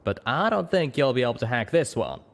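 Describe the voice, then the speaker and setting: A man's voice: medium pitch, big pitch swings, fairly quick, voice pushed up. A man voicing a confident, teasing male pegasus pony with a folksy drawl, in an audio story. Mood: teasing, knowing.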